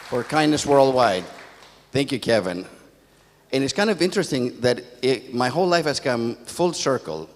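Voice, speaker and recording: A man's voice: mid-range voice, lively intonation, normal speed. An older man from Greece who moved to America, giving a motivational talk in conversational, storytelling phrases. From born in Athens, Greece, moved to America as a child. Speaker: conference speaker, positive psychologist. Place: theatre stage. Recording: echoey hall, long reverb.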